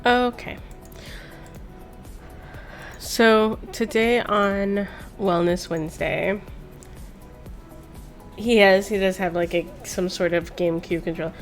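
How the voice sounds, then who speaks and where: A woman's voice: mid-range voice, slow, long pauses. A woman in her thirties chatting casually and telling personal stories in an informal, rambling, sweary way, like a streamer. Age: 30s. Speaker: streamer hosting a chat stream. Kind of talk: casual chat, personal storytelling. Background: background music.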